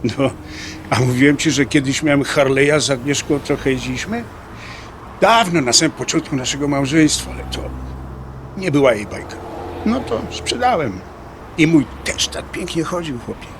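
Casting: anxious middle-aged atheist biker, blunt colloquial audio drama talk, lightish pitch, noisy vehicle backdrop. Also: male voice, expressive, normal speed. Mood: nostalgic, chatty. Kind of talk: dialogue acting in an audio drama.

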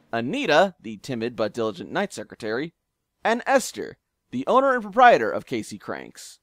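A man's voice: medium pitch, no echo. A man narrating a scripted audio drama in a wry storytelling manner. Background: music.

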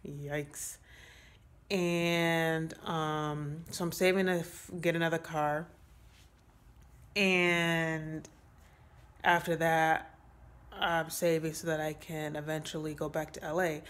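A woman in her late twenties talking to her phone camera in a small room, giving a casual, rambling update with many pauses and fillers. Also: deep voice, little pitch movement. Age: 20s. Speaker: vlogger. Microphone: phone held close at arm's length.

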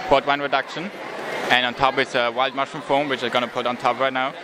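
A man's voice: mid-range voice, level tone, fast talker, crowd noise. A young man from Germany with a German accent, a chef talking plainly with many fillers in a casual interview. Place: indoor festival booth. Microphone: handheld mic held by interviewer. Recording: noisy crowded festival hall.

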